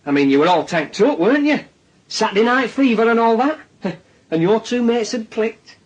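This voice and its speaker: Northern english cop in a radio play, husky highish male voice, sarky, keeps prodding with questions. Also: expressive, normal speed. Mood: sly, teasing. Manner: insistent questioning with tag questions and dry sarcasm. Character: needling, sardonic male police detective grilling a suspect. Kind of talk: naturalistic radio drama dialogue.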